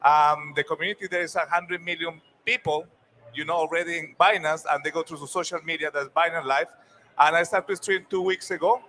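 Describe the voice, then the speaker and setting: Bright-voiced man from Colombia. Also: fairly high pitch, steady tone, a little fast, a little hiss or hum, low-quality recording. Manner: friendly, upbeat, repeats words. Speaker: panelist. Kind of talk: conference panel talk. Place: conference stage.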